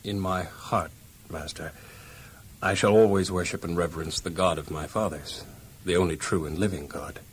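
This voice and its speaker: A man playing an earnest, devout young slave lad with halting, emotional delivery in an audio Bible drama. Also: low-pitched, steady tone, husky, low-quality recording. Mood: respectful, steadfast.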